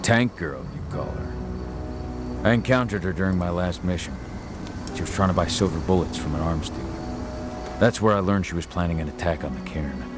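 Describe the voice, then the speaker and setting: A man's voice: sits low, brisk, natural voice. A middle-aged man playing a rugged, determined warrior and protective father in a video game, speaking bluntly and intensely. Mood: probing. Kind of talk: video game cutscene acting. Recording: loud music behind dialogue.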